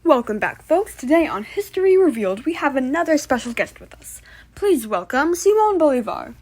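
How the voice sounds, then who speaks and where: A woman's voice: high-pitched, sing-song, normal speed, darkish tone. A woman playing a polite, slightly teasing talk-show host in a comedic puppet interview about history. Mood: presenting.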